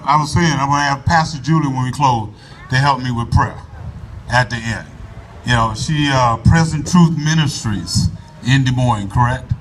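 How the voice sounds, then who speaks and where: A man giving an earnest, folksy address to a crowd at an outdoor rally in a park, speaking loudly into a microphone and urging people to come closer. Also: medium pitch. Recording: voice from far off the mic, faint background noise.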